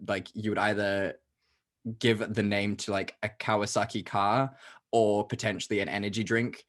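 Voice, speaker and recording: Young guy podcast cohost, kinda low voice, chatty, laughs a lot. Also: level tone, a bit bright. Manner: chatty, laughs often, quick asides. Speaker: podcast co-host. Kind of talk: casual chat.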